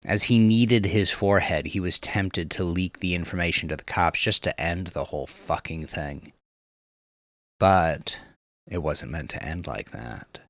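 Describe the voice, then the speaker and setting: A man narrating crime fiction in a measured, dramatic storytelling manner. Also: low-pitched, no room sound. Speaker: audiobook narrator.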